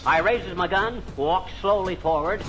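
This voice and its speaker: An actor playing a boastful, blustery husband in a folksy 1940s radio comedy style. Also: male voice, sits high, measured pace, fairly bright tone. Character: blustery, boastful husband spinning tall tales while fixing things. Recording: noisy old radio broadcast recording.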